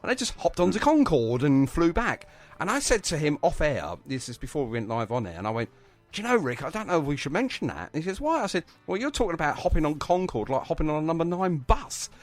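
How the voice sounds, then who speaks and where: A man's voice: on the high side, big pitch swings, fast, faint music behind. A man hosting a live radio interview in a chatty, effusive, rambling and anecdotal way. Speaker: radio show host.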